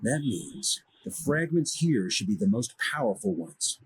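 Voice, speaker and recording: An actor playing a seasoned, confident expedition commander in a fantasy game, speaking in an assured, measured way. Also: male voice, mid-range voice, sing-song, slightly rough, full character voice, poor audio. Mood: grave, assured. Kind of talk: fantasy video game dialogue acting.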